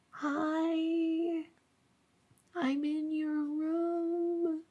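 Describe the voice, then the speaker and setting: A woman playing a needy, exasperated but harmless female monster under the bed, rambling and stammering softly, often in whispers, in an ASMR audio roleplay. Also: high-pitched. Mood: playfully spooky. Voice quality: soft, often breaking into whispers. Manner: rambling, stammering, pleading, silly.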